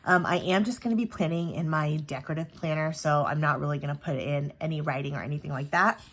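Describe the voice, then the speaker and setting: Low-voiced chatty woman with a stuffy nose. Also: quick pace, fairly bright tone. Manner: chatty, frequent ums and self-corrections. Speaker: planner sticker channel host. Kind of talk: casual planning vlog chat.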